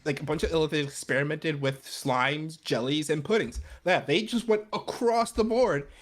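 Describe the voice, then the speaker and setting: An excitable, emphatic speaker in an informal, animated discussion about tabletop gaming. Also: mid-range voice, normal speed. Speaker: podcast panelist.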